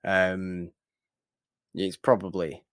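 Deep-voiced man co-hosting a movie podcast. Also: level tone, very slow, no room sound, clean studio sound. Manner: emphatic, repeats words and phrases. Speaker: podcast co-host. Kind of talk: casual movie discussion.

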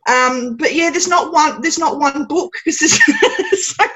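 Australian woman, guest on a podcast, chatty with lots of ums and laughs, a bit high. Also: wildly swooping pitch, measured pace, a crowd in the background. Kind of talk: casual podcast interview.

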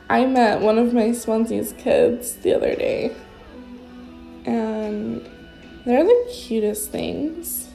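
A woman's voice: fairly high pitch, very slow, gravelly, long pauses, dark tone, faint music behind, poor audio. A young woman sponsoring others in recovery, talking off the cuff in a casual vlog. She sounds congested and blocked up, and rambles with many fillers. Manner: rambling, spontaneous, heavy with fillers. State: congested, stuffy nose. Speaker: recovery sponsor sharing experience. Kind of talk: casual unscripted vlog talk.

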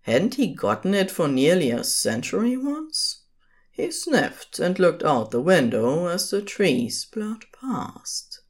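A woman's voice: lowish voice, very animated, takes it slowly. A woman narrating scripted fiction as the storyteller of an audio drama.

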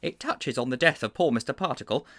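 A man's voice: mid-range voice, fast talker, voice pushed up, lightly acted. A man voicing an earnest, anxious older schoolteacher who speaks formally and a little fussily. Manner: formal, slightly fussy, animated.

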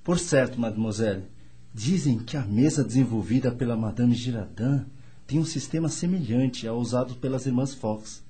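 An actor playing a courteous, well-informed gentleman in a radio soap opera, with formal, florid manners. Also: mid-range voice, moderate pace, breathy edge, natural voice. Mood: confiding, informative. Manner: formal, florid, theatrical politeness. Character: courteous, well-informed Frenchman, eager to introduce himself.

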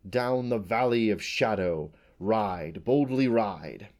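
A man's voice: fairly low pitch, expressive, slow, darkish tone. A man voicing a flighty, swaggering male butterfly who chants and sings jumbled scraps of songs and verse. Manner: sing-song, chanting, jumbled recitation. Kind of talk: audiobook character voice.

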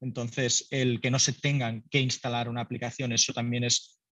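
A man's voice: medium pitch, little pitch movement, normal speed, a bit bright, no room sound. A man, a startup founder answering interview questions in a loose, conversational way with lots of fillers. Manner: loose and conversational, many fillers, laughs lightly.

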